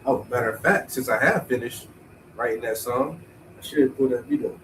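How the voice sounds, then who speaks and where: A man thinking aloud in a relaxed, rambling way as he paints, sounding stuffy and tired with a cold. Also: medium pitch, normal speed, distant mic, slight background noise, rough recording. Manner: laid-back, rambling, thinking aloud, swears casually. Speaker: painter streaming while painting. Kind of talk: casual talk to himself while painting.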